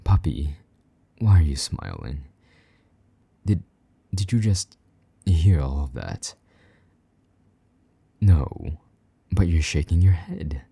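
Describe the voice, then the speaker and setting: A jealous vampire knight, played by a man murmurs slowly in a very deep, dark voice. His tone is husky and slightly gravelly, sliding into soft whispers as he teases and comforts. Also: very animated, no room sound, full character voice. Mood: tender. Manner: low murmuring with soft whispers, teasing and gruff. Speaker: voice actor in audio roleplay. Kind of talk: intimate audio roleplay.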